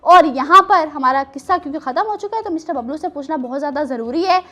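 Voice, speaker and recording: A woman's voice: high-pitched, quick pace, sharp tone. A woman hosting a children's show, telling stories and chatting with a teacherly, teasing manner and plenty of questions. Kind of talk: storytelling and playful chat with children.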